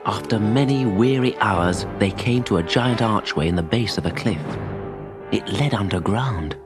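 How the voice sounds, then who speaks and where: A man narrating a children's audiobook in an expressive, dramatic storytelling manner. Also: on the low side, normal speed, over loud music.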